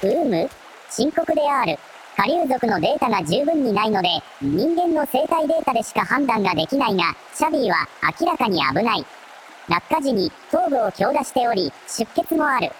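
A woman's voice: fairly high pitch, very animated, fairly quick, slight background noise, low-quality recording. A woman voicing a formal, analytical robot companion in a radio drama, with stiff, factual delivery. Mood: clinical, serious.

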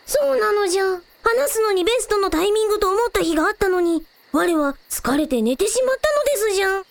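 A woman's voice: very high voice, expressive, fast, vocal fry, no echo, exaggerated voice. A woman voicing a small, proud, good-natured dragon prince with childlike, quaint, lordly old-fashioned speech in an audio drama. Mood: proud, reassuring.

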